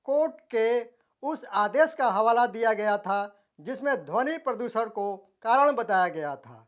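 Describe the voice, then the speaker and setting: A news presenter reading a scripted report in a formal, measured way, phrase by phrase. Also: medium pitch.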